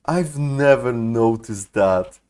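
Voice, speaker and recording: A man's voice: medium pitch, slow, darkish tone. A young man giving casual, profane, slangy and incredulous commentary as a game streamer.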